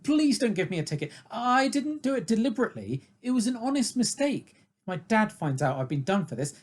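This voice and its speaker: A woman's voice: on the high side, brisk. A woman with a British accent playing an eager, apologetic, ambitious young man in a comic radio play. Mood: pleading. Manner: excitable, earnest, apologetic.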